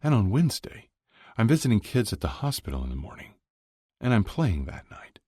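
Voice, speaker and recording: Romance audiobook lead, male narrator acting: earnest, humble athlete, hesitant and sincere, lively pitch, dry sound. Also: a little fast, slightly rough, dry recording. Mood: hesitant, sheepish. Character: earnest, modest male pro hockey star in love.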